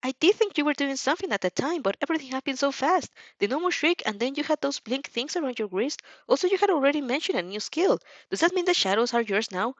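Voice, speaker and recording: A woman's voice: high-pitched, expressive, quick pace, few pauses, no room sound, dull audio, voice pushed up. A woman voicing an earnest, analytical teenage boy who mumbles his reasoning in a fan audio story. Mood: intrigued.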